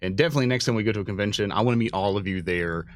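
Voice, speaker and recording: A man's voice: on the low side, racing, dry recording, clean studio sound. A man hosting a livestream in a casual, enthusiastic, slangy and rambling chat style. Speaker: livestream host.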